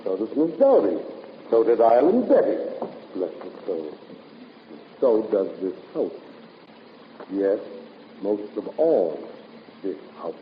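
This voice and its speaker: An actor playing a pompous, eccentric professor of psychic research in a 1950s radio drama, slyly teasing in a mannered way. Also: male voice, lowish voice, big pitch swings, slow, pauses often. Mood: cryptic, smug. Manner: theatrical, mannered, ponderous. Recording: muffled, noisy, echoey old radio recording.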